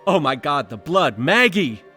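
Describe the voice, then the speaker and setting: A man's voice: on the high side, sing-song, measured pace, bright, forceful tone, soft background music, full character voice. A man playing an anxious, protective man in a radio play, earnest and wordy as he cautions. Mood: horrified pleading. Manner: urgent, earnest, wordy explanations.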